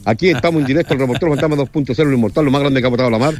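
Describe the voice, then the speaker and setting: A man's voice: mid-range voice, very fast, a little mellow. A Chilean man on a radio show playing a goofy field reporter, rambling and tripping over repeated words. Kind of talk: comic mock field report.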